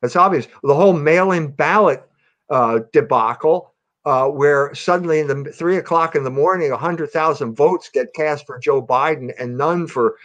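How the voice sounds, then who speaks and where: A man comments on politics in a talk-show style. His voice sits a bit higher than most men's. He speaks conversationally but emphatically, often repeating a phrase to press it. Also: normal speed, fairly bright tone, highs cut off.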